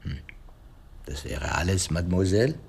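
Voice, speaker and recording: A man's voice: low-pitched, expressive, vocal fry, background noise. Polite clever detective man in a radio play, musing and formal, voice goes up and down loads. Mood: probing. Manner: formal, deliberate, French phrases and musing hesitations. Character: shrewd, courteous male detective, pensive and probing.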